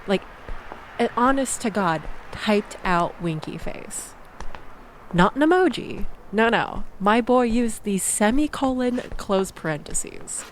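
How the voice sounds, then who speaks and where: Faint background noise sits under an actress as a young woman in an intimate audio drama. She speaks slowly at a medium pitch, with wry, wordy exasperation and theatrical emphasis. She sounds sardonic and conversational, as if venting to one listener. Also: animated. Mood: mocking, exasperated.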